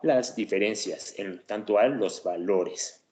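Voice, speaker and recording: Low-voiced teacher in an echoey room. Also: male voice, takes it slowly, dark tone. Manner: conversational, checks in with frequent tag questions and fillers. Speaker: teacher presenting a class topic. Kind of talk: explanatory lecture on education.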